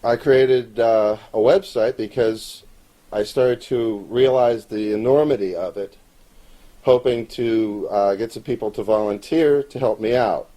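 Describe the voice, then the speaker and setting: A middle-aged man giving an earnest, measured, plain-spoken personal account in an interview. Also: mid-range voice, warm tone, rough recording. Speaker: amateur animator.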